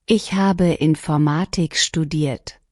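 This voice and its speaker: A woman reading short lesson sentences one at a time, each clearly and deliberately, for learners to repeat. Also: low-pitched, fairly even intonation, a little mellow, no echo, studio quality. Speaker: language lesson reader. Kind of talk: scripted shadowing practice reading.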